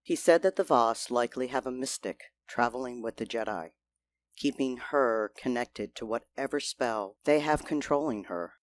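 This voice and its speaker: A man's voice: mid-range voice, moderate pace, dry recording, natural voice. A man playing a courteous, dutiful ship's crewman who speaks formally and respectfully in an audio drama. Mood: formal, earnest.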